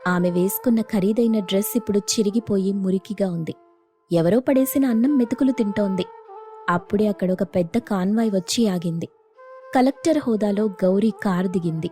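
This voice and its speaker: Mellow female story narrator. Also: medium pitch, normal speed, faint music behind.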